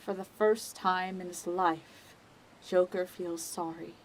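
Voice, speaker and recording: Slow, measured woman narrator. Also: fairly low pitch, steady tone, slight background noise, rough recording. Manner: measured, deliberate. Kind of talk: scripted dramatic narration.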